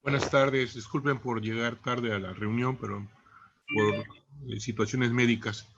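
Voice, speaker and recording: A man joining a webinar by video call, telling a story in a measured, hesitant way. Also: medium pitch, steady tone, noisy background. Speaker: webinar participant. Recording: dull lo-fi video call audio.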